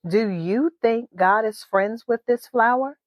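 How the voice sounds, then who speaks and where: A woman voicing a gentle, patient father in a children's storybook. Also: on the high side, slow pace, no echo, clean studio sound. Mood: gentle, thoughtful. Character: gentle, thoughtful father of a young girl. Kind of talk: children's storybook read-aloud.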